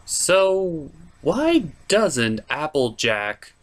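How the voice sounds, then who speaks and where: A man hosting a scripted cartoon review, speaking in a sarcastic, emphatic way with drawn-out stresses. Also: on the high side, wildly swooping pitch. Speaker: reviewer, show host.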